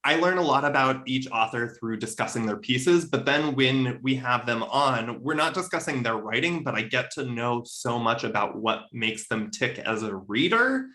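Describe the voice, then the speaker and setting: A man's voice: mid-range voice, expressive, normal speed, hardly any pauses, pushed and bright. A man speaking casually in an interview, explaining things with enthusiasm as a podcast co-host. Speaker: podcast co-host appearing as guest.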